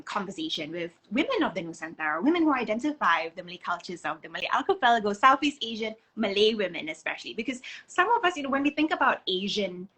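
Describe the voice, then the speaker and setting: A woman's voice: medium pitch, sharp tone, breathy edge. A woman from Singapore hosting a conversational interview, speaking effusively and repeating words for emphasis. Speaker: interview host.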